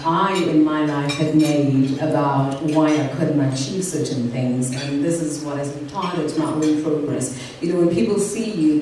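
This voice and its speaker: A South African woman giving a keynote speech at an awards evening, measured, earnest and reflective. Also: sits low, dark tone, a little hiss or hum. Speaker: keynote speaker. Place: event venue. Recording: echoey hall from far off.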